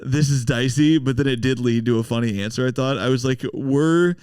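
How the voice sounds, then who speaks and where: A man's voice: medium pitch, fairly quick, darkish tone, clean tone, dry recording, clean studio sound. A man, a stand-up comedian, telling stories in a laid-back, swearing podcast chat with frequent laughs.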